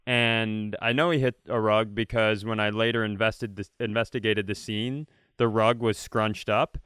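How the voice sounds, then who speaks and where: Male comedy podcast host: smooth, clean voice, dry close sound, animated casual storyteller. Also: lowish voice, normal speed, no room sound.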